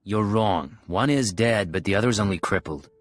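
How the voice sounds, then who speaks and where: Man playing low, husky, controlled spy. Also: no room sound, close to an everyday voice. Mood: cool, firm. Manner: measured, controlled. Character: cool, controlled male spy, quietly menacing. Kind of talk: dramatic video game acting.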